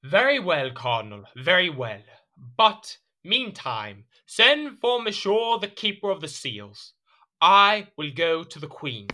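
A sharp, swooping, haughty king. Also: high voice, sing-song, slow, heavily acted. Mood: curt, commanding. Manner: commanding, pointed, formal delivery. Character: haughty, suspicious, imperious king. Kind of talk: period audio drama acting.

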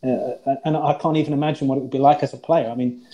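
A man's voice: medium pitch, steady tone, quick pace, dark tone, highs cut off, slight background noise. A man, a former head coach, talking earnestly about past matches in a casual chat, often restarting his sentences.

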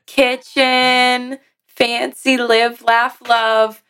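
A woman's voice: fairly high pitch, flat delivery, drawn-out words, fairly bright tone, clear, resonant tone. A woman co-hosting a podcast in a casual group chat, with some noise on the recording. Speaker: podcast co-host. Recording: noticeable background noise.

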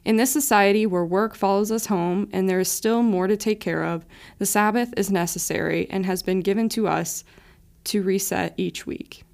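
A woman's voice: medium pitch, steady tone, moderate pace, gravelly, a little mellow. A woman reading scripture and a scripted personal reflection as part of a devotional. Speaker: devotional writer and reader.

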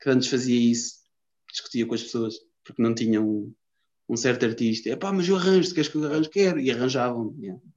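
Um homem de Lisboa, com sotaque lisboeta, numa conversa descontraída, cheia de calão e palavrões e a divagar. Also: male voice, mid-range voice, fast talker, darkish tone. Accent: Lisbon Portuguese. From Lisbon, Portugal. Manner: slangy, swears a lot, rambling. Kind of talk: casual chat. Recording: dull lo-fi sound.

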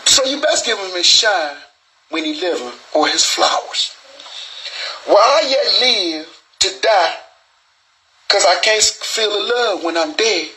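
A man's voice: high-pitched, animated, measured pace, pushed and bright, a little hiss or hum, rough recording. Rambling, profane man in his forties. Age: 40s. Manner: rambling, profane, preachy with restarts and stutters. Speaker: show host. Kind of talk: freewheeling street monologue. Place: small recording booth.

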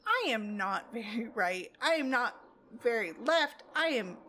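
A woman's voice: on the high side, lively intonation, measured pace, pushed and bright, a little hiss or hum. A woman guest on a podcast, chatting casually with blunt, joking remarks.

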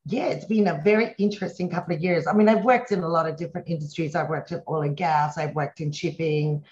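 A woman's voice: low voice, fast, a little mellow. Earnest businesswoman in her fifties, dull audio. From Hong Kong. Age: 50s. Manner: earnest, reflective, speaks in long run-on thoughts. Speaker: company CEO, interview guest. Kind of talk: conversational interview answers.

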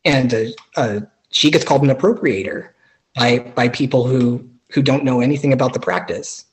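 A man's voice: medium pitch, moderate pace, slight background noise, poor audio. A man hosting a casual conversation, speaking hesitantly with stumbles and restarts.

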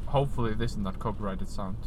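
A man's voice: lowish voice, fast. A man co-hosting a casual podcast from inside a car, playful and giggly. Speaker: podcast co-host. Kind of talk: casual chat. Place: inside a car during a storm at night. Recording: faint background noise in a car.

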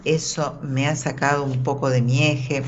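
A woman's voice: very deep voice, level tone, moderate pace, noisy background. An Argentine woman hosting a live call-in tarot show, chatting informally and reassuringly with frequent tag questions. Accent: Argentine Spanish. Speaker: radio host and tarot reader. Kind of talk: live call-in advice chat. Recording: dull, band-limited audio.

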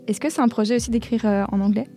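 Quick, chatty woman host, a bit high, mellow tone, enthusiastic interviewer. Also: fairly even intonation, soft background music. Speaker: radio interviewer. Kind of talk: casual interview.

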